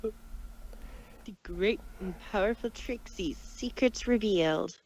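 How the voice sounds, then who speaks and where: A woman's voice: medium pitch, takes it slowly, darkish tone, slight background noise, close to an everyday voice, rough recording. A woman playing a vain female pony magician in a story reading, speaking in a theatrical, emphatic manner. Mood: indignant. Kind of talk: fan fiction dramatic reading.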